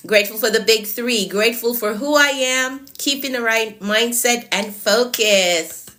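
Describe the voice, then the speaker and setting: A woman's voice: fairly high pitch, measured pace, pushed and bright, slight background noise. Congested woman coaching live viewers. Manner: encouraging, repeats phrases, greets viewers by name. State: congested and losing her voice. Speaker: productivity coach hosting a livestream. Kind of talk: live stream chat with viewers.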